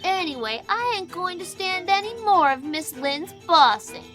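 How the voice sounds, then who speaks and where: High, defiant little boy, bright-voiced. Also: animated, measured pace, faint music behind, heavily acted. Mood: indignant. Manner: scrappy, folksy, blustering. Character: defiant, mischievous young boy, hot-tempered and bragging. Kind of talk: audio storybook character acting.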